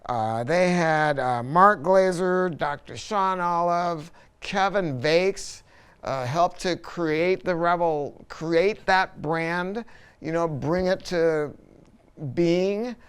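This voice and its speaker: A man's voice: fairly high pitch, slow, a bit bright, clear, resonant tone. A man talking casually and enthusiastically as he presents a product review, informal and full of opinions.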